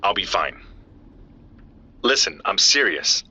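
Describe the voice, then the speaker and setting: A man's voice: low-pitched, sing-song, slow, bright, forceful tone, lo-fi sound, slight background noise, full character voice. An actor playing a worried man who calls his friend and urgently pleads with him to stop. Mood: earnest. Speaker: caller. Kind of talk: audio drama acting.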